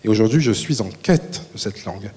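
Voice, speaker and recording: A man on a panel at a public talk, answering in a measured, thoughtful way. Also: fairly low pitch, expressive, mellow. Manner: measured, thoughtful, speaks in full sentences. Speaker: panel guest. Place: large hall with an audience. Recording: reverberant hall.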